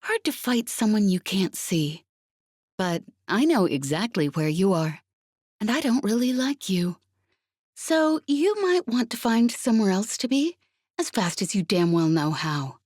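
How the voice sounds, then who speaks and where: A woman's voice: mid-range voice, sing-song, unhurried, a little mellow, no room sound, pitched above the performer's own voice. A brash, cheerful woman pilot from a space opera audiobook, acted out by a female reader. She drawls her taunts with cocky confidence, in a dry, close recording. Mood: cocky, taunting. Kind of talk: audiobook character acting.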